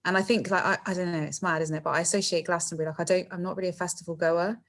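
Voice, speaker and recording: A woman's voice: lowish voice, fairly even intonation, rapid-fire. Chatty woman who laughs often. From England. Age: middle-aged. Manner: chatty, laughs often, many fillers and tag questions. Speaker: podcast guest, singer. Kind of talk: casual interview chat.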